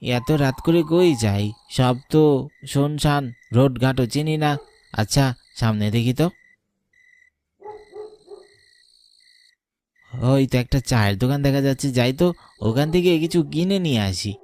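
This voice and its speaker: A man's voice: mid-range voice, expressive, moderate pace, darkish tone, lightly acted. A man playing a timid, dutiful young son in a horror cartoon, hesitant and given to stammering when afraid. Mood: uneasy. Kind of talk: Bengali horror cartoon acting.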